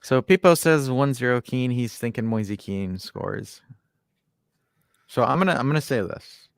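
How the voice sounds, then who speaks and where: A man's voice: medium pitch, normal speed, creaky, clear, resonant tone, no room sound, studio quality. A man with an American accent co-hosting a football podcast, joking, teasing himself and reading out fan comments in a casual chat. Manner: joking, self-mocking, reads out fan comments.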